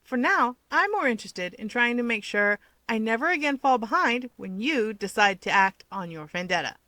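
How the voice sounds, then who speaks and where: A woman's voice: on the high side, expressive, normal speed, bright, forceful tone, dry recording. A woman voicing a cocky, insistent young male hero from a fan story, with a taunting, emphatic delivery. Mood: defiant.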